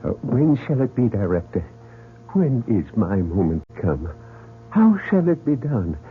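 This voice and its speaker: A man's voice: mid-range voice, sing-song, measured pace, soft background music. A man playing a feverishly eager, obsequious club member who longs for death, in an old-time radio mystery. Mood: servile. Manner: overwrought, obsequious. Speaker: radio drama actor. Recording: tinny old radio recording, humming.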